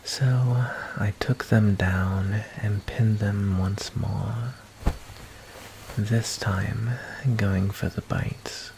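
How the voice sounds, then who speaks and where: A man voicing a calm, menacing vampire who toys with his prey, narrating an ASMR roleplay in a deliberate, hushed voice that slips into whispers. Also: fairly low pitch, noisy background, exaggerated voice. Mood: amused, toying. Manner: slow, deliberate, hushed delivery slipping into breathy whispers.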